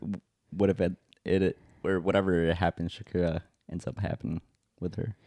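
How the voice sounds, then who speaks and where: Young male podcast co-host with a Spanish accent, very low mellow voice, relaxed and hesitant, dry mic. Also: moderate pace, no echo. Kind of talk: casual chat.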